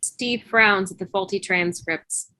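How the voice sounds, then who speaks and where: A woman's voice: mid-range voice, slow, bright, forceful tone, no echo, poor audio. A woman reading scripted stage directions as narration on a video call. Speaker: stage-direction reader in a table read.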